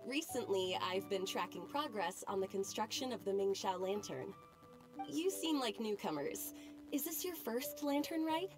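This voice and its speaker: A woman's voice: medium pitch, lively intonation, moderate pace, over music, natural voice. A woman voicing a friendly, knowledgeable festival-goer in a video game, chatty and informative.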